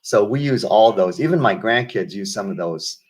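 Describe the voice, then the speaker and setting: An older man from the United States speaks in a lowish voice as an interview guest. He tells his stories slowly and reflectively, doubling back and restarting his sentences. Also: expressive. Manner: reflective storyteller, many restarts and asides. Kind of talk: casual interview conversation.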